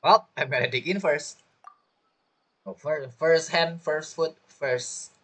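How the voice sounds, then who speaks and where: A man's voice: on the high side, slow, pushed and bright. A young man doing casual, joking playthrough commentary, reacting aloud to a video game. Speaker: gaming streamer.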